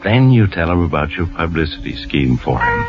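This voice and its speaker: A man's voice: low-pitched, big pitch swings, moderate pace. A man playing a sinister, knowing storyteller, narrating old-time radio suspense with dramatic, insinuating emphasis. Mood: ominous, knowing. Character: sinister, knowing male storyteller addressing the culprit. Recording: muffled old radio recording. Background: loud dramatic music.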